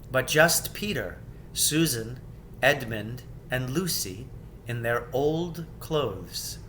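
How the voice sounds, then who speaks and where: A man reading a children's story aloud as expressive, deliberate, theatrical narration. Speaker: storybook reader. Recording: background noise with low rumble.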